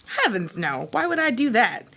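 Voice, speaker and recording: A woman's voice: on the high side, sing-song, moderate pace, creaky, fairly bright tone, exaggerated voice. A woman playing a bold, sardonic madam in an audio drama, cool and challenging. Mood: defiant. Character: bold, cool madam, protective of her girls.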